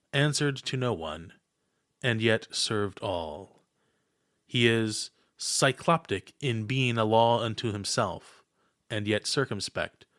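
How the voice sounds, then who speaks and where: A man reads a scripted essay in a formal, oratorical style. He phrases deliberately and pauses between clauses. The recording is dry and close, with no room sound. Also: lowish voice, fairly bright tone, no room sound. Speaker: podcast host.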